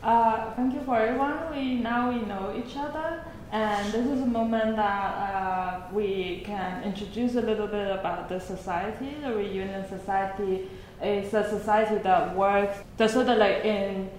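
A woman's voice: mid-range voice, steady tone, measured pace, a little mellow. Woman facilitator in a very echoey room. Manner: friendly, instructive, fillers. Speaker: workshop game facilitator. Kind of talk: explaining game rules to participants. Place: large echoey museum room. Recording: very echoey room with background noise.

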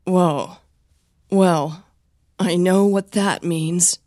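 A woman's voice: fairly low pitch, steady tone, drawn-out words, darkish tone, no room sound, clean studio sound. A woman voicing a hurt young woman pleading in an audio drama quarrel, plain and emotional, with a flu-affected voice. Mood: resigned.

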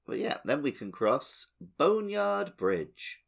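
A man's voice: medium pitch, huge pitch swings, takes it slowly, gravelly. A man co-hosting a podcast, chatting casually through a video game playthrough. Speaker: podcast co-host.

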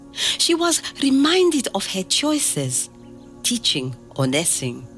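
A woman playing a proud, fond grandmother in an audio drama, telling her life story to her grandchild as an expressive storyteller. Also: a little high.

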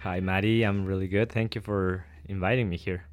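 A young man from Ecuador, giving thoughtful, hesitant interview answers full of pauses and restarts. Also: sits low, steady tone, soft background music. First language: Spanish. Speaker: guest, doctoral student.

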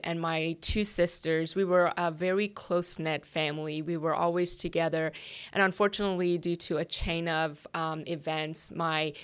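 Young female guest, slight Persian accent, earnest and halting, lowish clear voice. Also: a bit bright. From Iran. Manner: earnest, hesitant with many ums. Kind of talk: telling her life story. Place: office.

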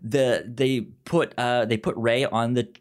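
A podcast host with a noticeable stutter, repeating sounds and syllables while casually retelling a film plot in an animated, acted-out way. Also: mid-range voice, a little slow.

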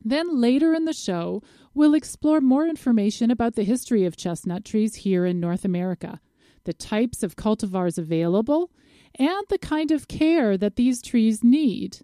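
A woman presents a radio show in a fairly high but soft, warm-toned voice. She delivers scripted introductions and interview questions, recorded dry and close. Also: expressive, moderate pace, dry recording.

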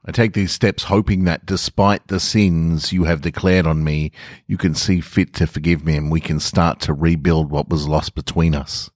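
A man's voice: sits low, fairly even intonation, normal speed, a little mellow, dry recording, clean studio sound. A man voicing a remorseful man seeking forgiveness, in an earnest, pleading first-person story reading.

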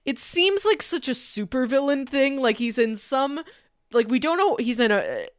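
A woman's voice: high voice, very animated, moderate pace, no room sound, studio quality. A woman co-hosting a film podcast in casual conversation, thinking aloud and posing rhetorical questions.

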